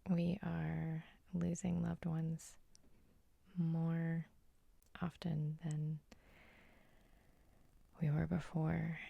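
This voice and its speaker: A woman leads a guided sleep hypnosis in a soft, husky whisper. Her voice is dark and mellow with gentle, unhurried pacing. The sound is very dry and intimate, as ASMR. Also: deep voice, no echo. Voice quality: breathy, whispery. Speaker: hypnotist and host.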